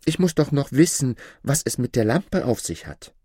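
A man's voice: lowish voice, huge pitch swings, a little slow, darkish tone, slightly rough, dry recording, studio quality, heavily acted. A man voicing an impulsive, questioning boy hero in a fairy tale, with an eager manner. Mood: insistent.

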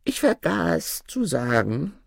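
A man voicing a polite, fussy dwarf servant of a magic ring in a fairy tale, with a drawn-out, singsong delivery. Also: a little high, sing-song, vocal fry, no echo, clean studio sound, voice pushed up, exaggerated voice. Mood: formal, refusing.

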